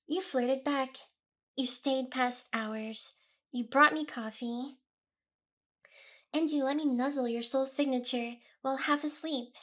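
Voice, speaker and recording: A woman's voice: sits high, fairly bright tone. A woman playing a smug, seductive, possessive demon boss in an ASMR roleplay, with a teasing, theatrical delivery.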